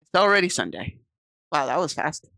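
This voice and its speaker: A woman's voice: low-pitched, big pitch swings, moderate pace, vocal fry, sharp tone, dry recording. A woman streaming, reading visual novel text aloud and chatting casually with viewers on a desk mic. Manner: chatty, reads game text aloud and answers chat.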